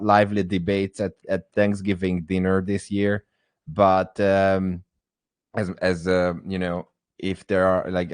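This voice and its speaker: A man's voice: low-pitched, a little mellow, no room sound. A man teaching a live online course, talking in a hesitant way with many fillers and restarts. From Hungary. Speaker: AI course instructor.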